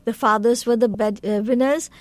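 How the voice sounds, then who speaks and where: Woman, Bangladeshi accent, interview guest, earnest, talkative, many fillers, somewhat high pitch, dry sound. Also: steady tone, moderate pace, no room sound. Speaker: guest, community council vice president.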